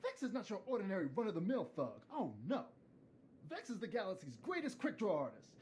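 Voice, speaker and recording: A woman's voice: mid-range voice, wildly swooping pitch, brisk, darkish tone. An actress in a video game playing a boastful, theatrical woman with a mocking manner. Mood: cocky, taunting. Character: boastful female admirer taunting a brute enforcer.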